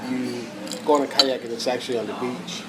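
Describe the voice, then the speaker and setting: A man from Puerto Rico with a Puerto Rican accent, telling stories in a relaxed, casual way on a podcast. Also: medium pitch, brisk, over loud music. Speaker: podcast co-host. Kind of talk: casual chat.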